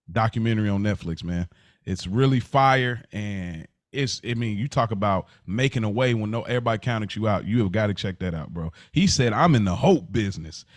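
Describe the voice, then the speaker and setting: A man's voice: lowish voice, no room sound, clean studio sound. An American man co-hosting a podcast, talking casually and earnestly in informal, slangy language. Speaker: podcast co-host.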